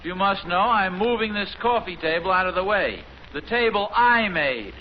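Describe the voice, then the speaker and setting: A man's voice: sits high, animated, moderate pace. An actor playing a mild, flustered suburban husband and father in a 1950s radio sitcom, stammering and defensively proud. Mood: grudging. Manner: stammering, hesitant. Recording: muffled, hissy 1950s radio recording.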